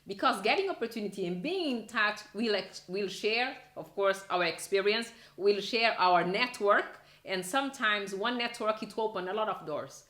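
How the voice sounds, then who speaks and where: Woman hosting an interview, halting, restarts a lot, roomy echo. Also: mid-range voice, lively intonation, fairly bright tone. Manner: halting, restarts, non-native phrasing. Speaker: interview host. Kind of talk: interview questions.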